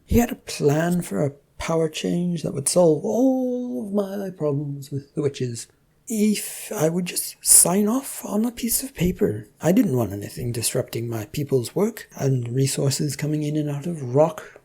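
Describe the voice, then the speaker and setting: Lady doing a flustered self-important king for a story, dithery, higher voice, kinda husky. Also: sing-song, a little slow, mellow, heavily acted. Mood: fretful, self-important. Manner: blustering, dithering. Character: flustered, pompous, fretful male king, self-absorbed bureaucrat. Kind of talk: audio storybook character voice.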